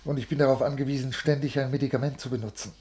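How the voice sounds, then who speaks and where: An actor playing a stiff, agitated civil servant in a 1950s radio play, speaking formally and haltingly in a creaky voice. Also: male voice, mid-range voice, steady tone, darkish tone, close to an everyday voice. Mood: weak, urgent. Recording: 1950s radio studio recording.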